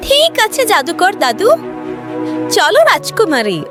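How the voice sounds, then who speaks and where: A woman as a very high-pitched, earnest little girl. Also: huge pitch swings, a little slow, music in the background, exaggerated voice. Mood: pleading, sincere. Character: brave, earnest little girl named Meera, pleading and kind. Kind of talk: children's cartoon story voice acting.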